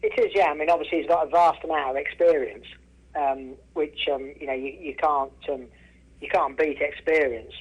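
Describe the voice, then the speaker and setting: A man's voice: on the high side, fairly even intonation, moderate pace, slightly rough. A man from England giving a casual interview over the telephone, restarting often and repeating himself for emphasis. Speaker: racehorse trainer and former jockey, interview guest. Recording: telephone line, radio-style call.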